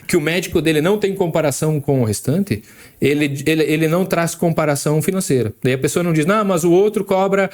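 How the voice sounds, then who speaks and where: A man's voice: fairly high pitch, fast. A man co-hosting a casual podcast who explains things with examples and often restarts his sentences. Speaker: podcast co-host.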